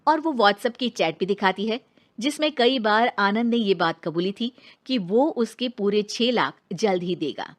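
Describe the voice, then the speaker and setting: A woman narrating a scripted dramatic story as its storyteller. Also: mid-range voice.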